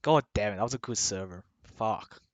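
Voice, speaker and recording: A man's voice: fairly low pitch, normal speed, dry recording. A young man from Sweden with a Swedish accent, streaming a game and trolling other players with smug, mock-formal taunts. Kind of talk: trolling game-chat banter.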